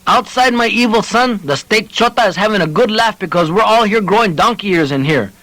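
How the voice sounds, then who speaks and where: A man's voice: sits high, animated, fairly quick, sharp tone, no room sound, pitched above the performer's own voice, low-quality recording. A man voicing an emotional, indignant old villager in an audiobook, earnest and pleading as he stammers out his piece.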